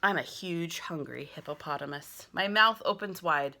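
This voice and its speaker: A woman's voice: fairly low pitch, normal speed, bright, forceful tone. A woman voicing an exuberant, imaginative little boy who boasts his way through a children's picture book. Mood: boastful. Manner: exuberant, boastful, sound-effect loving. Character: boisterous imaginative little boy playing vehicles and animals.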